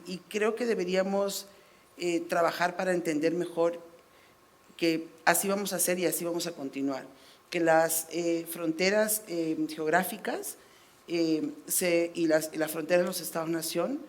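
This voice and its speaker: A middle-aged woman from Latin America speaking on a panel, telling personal stories with fillers and "¿no?" tag questions. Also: fairly low pitch. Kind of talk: panel discussion.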